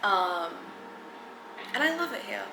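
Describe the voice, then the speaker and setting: Australian woman, 30s, shy vlogger, creaky tone, roomy noisy home recording. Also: mid-range voice, big pitch swings, slow pace. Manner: hesitant, many ums, soft giggles, drops to near whisper at times. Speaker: content creator. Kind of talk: casual get-to-know-me vlog. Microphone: camera mic.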